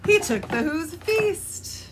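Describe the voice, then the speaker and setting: A woman reading a children's rhyming book aloud, in an expressive, sing-song delivery. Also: very high voice, slow, slight background noise. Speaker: storyteller.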